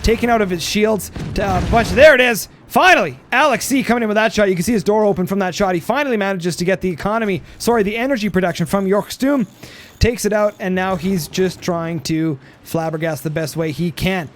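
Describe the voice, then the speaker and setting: A man giving rambling play-by-play commentary on a strategy game, hoarse and losing his voice, full of fillers and restarts. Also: high voice, a little fast, slight background noise.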